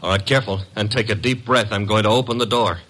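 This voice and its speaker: A man's voice: fairly low pitch, steady tone, fast talker, a bit bright. An actor playing a breezy, girl-crazy detective's assistant in an old-time radio drama, wry, cocky and teasing. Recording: noisy old radio recording.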